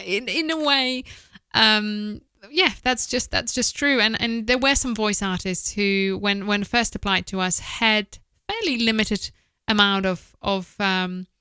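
A woman in her thirties talks informally and candidly, sharing her opinions like a podcast host. Her mid-pitched voice is bright and clean. She speaks close to a studio microphone in a small office, with a dry, intimate sound. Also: smooth, dry recording. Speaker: voice-over producer giving advice. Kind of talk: informal advice to camera, podcast style. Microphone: close studio microphone with foam windscreen, headphones.

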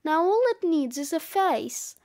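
A woman's voice: sits high, expressive, unhurried, darkish tone. A woman voicing an eager, easygoing young boy in a children's audiobook, breezy and coaxing. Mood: cheerful. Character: young boy Terry, eager, easygoing, playful best friend.

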